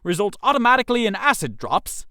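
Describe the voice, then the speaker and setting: Man playing a brisk, animated, bossy playwright. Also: sits high, dry recording, studio quality, exaggerated voice. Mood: businesslike, exasperated. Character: bossy, brisk male playwright staging a scheme.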